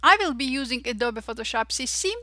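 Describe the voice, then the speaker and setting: A young woman with an Armenian-Russian accent, cheerfully walking through software steps one by one and saying "voila" after each result. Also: on the high side, lively intonation, no echo. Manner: cheerful, says "voila" and "perfect" often, slow deliberate steps. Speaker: Photoshop tutorial instructor. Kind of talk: step-by-step software tutorial narration.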